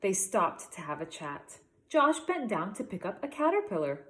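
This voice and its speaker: A woman teacher reading a picture book aloud to young children, slowing for emphasis and pausing to ask guiding questions. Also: mid-range voice, very animated, fairly bright tone. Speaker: elementary school teacher.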